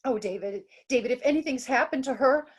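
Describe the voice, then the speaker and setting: A woman playing a worried, gentle young daughter in an old-time radio drama, earnest and melodramatic. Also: high-pitched, fairly quick. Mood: alarmed.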